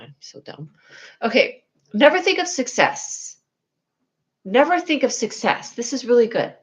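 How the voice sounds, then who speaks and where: A young woman with a whiny, needy voice, chatting casually to viewers on her livestream, rambling and scatterbrained, putting herself down and now and then breaking into excited squeals. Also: on the high side.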